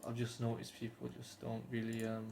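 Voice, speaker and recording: Gravelly young British man, rambling. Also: mid-range voice, completely flat delivery, a little fast, background noise. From United Kingdom. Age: 20s. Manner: rambling, hesitant, earnest. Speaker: vlogger. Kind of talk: casual talk to camera.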